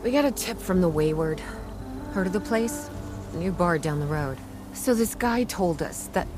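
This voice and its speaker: A woman's voice: lowish voice, lively intonation, normal speed, music in the background, natural voice. A woman playing a scrappy, friendly young treasure hunter in a video game, with a casual, earnest and slightly hesitant delivery. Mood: hopeful, eager. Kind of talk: naturalistic video game dialogue.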